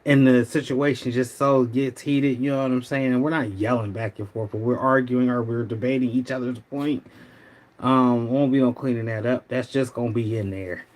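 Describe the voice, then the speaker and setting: Middle-aged man, livestream host, slightly hoarse, relaxed and rambling, chats with viewers. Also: mid-range voice, moderate pace. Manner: laid-back, rambling, talks to viewers. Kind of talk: casual livestream chat.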